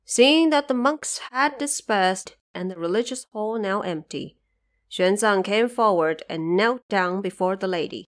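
A woman's voice: mid-range voice, a little slow. A woman narrating an audio drama story from a script.

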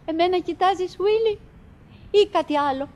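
A woman's voice: very high-pitched, darkish tone. An actress playing a tender, wistful, chattering wife who coaxes her silent husband in declamatory stage style. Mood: coaxing. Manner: declamatory, coaxing, with dramatic swings. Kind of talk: theatrical stage acting. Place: theatre stage, live recording.

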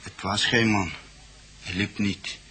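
Deep, forceful, halting foreign witness. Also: male voice, steady tone, a little hiss or hum. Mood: insistent. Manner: halting broken phrases, wary. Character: simple, wary foreign man being questioned. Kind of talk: radio drama dialogue.

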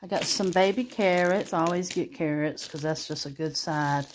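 A woman's voice: on the low side, normal speed, background noise. A woman showing her groceries at her kitchen table, chatty and homey, filmed close on a phone. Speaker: grocery haul vlogger. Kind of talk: casual show-and-tell narration. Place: kitchen table at home, indoors.

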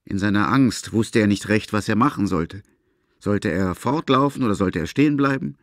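A man's voice: lowish voice, moderate pace, no room sound, clean studio sound. A man narrating a literary story for a German audio drama as scripted prose.

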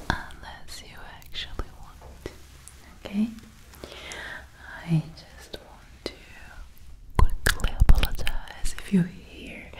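A young woman whispering breathily in a hushed, soothing manner, giving gentle reassuring instructions as a clinician in an ASMR roleplay. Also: deep voice, low-quality recording. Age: young adult. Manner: slow, hushed, soothing, repeating words gently. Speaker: ASMR host playing a hearing-test clinician. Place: small home room, indoors. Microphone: close binaural ASMR microphone.

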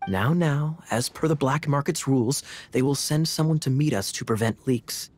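A man's voice: mid-range voice, moderate pace, darkish tone, soft background music, lightly acted. A man voicing a suave, teasing, composed young cavalry captain in a video game, playful and faintly theatrical. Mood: sly, amused.

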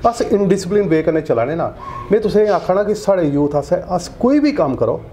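A man's voice: high voice, brisk, a little hiss or hum. A middle-aged man from Jammu with a Dogri accent, a cartoonist guest chatting casually in an interview and gesturing as he talks. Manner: animated, gestures a lot while talking. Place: indoor studio set.

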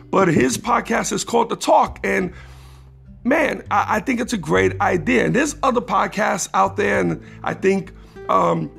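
A man hosting his own podcast in a casual, rambling monologue, his voice raspy, with many restarts that turn emphatic. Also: sits high, a little slow, a bit bright, faint music behind. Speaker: podcast host.

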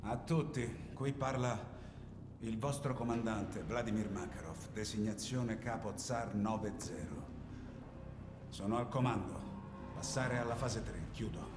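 In an echoey space over music, a man acts out a cold, menacing military commander from a video game. His low voice is controlled and deliberate, and he takes his time with every line. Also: close to an everyday voice. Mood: commanding. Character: cold, commanding male paramilitary leader fresh from prison. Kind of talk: cinematic military game acting.

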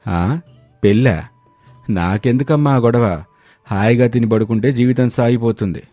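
A man playing a lazy, carefree elder brother of about thirty, languid and nonchalant, in an animated moral story. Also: medium pitch, moderate pace, no room sound, soft background music, natural voice.

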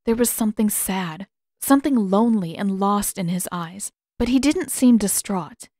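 A woman's voice: medium pitch, expressive, normal speed, warm tone, dry recording. A woman reading scripted fiction as an audiobook narrator, close to the microphone.

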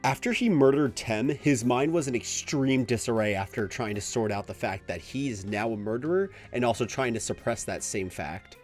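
A man's voice: medium pitch, moderate pace, hardly any pauses, a little mellow, faint music behind. A man narrating a scripted video essay analysis.

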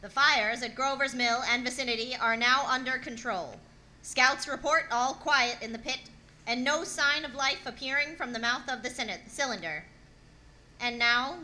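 A woman playing a solemn, formal news announcer reading bulletins in a 1930s-style radio drama, with measured phrasing. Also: high voice, bright, forceful tone, rough recording. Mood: formal, urgent.

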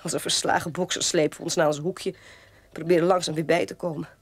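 An actress playing a weary, proud sister in a radio drama, speaking in a measured way. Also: female voice, sits low, level tone, breathy edge, no room sound. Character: weary, proud sister defending her disgraced father.

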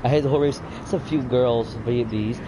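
A man's voice: mid-range voice, fast. A man streaming a game, sick and losing his voice, joking crudely and laughing a lot. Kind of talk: casual gaming chat. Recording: noisy game stream capture.

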